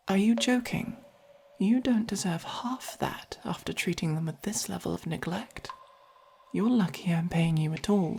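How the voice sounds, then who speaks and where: A haughty, possessive vampire with a caring streak, played by a woman in an ASMR roleplay. The English-accented voice is mellow and fairly low, soft and breathy. Words come slowly and deliberately, with quiet command. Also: heavily acted. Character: haughty, possessive but caring vampire buying a human pet.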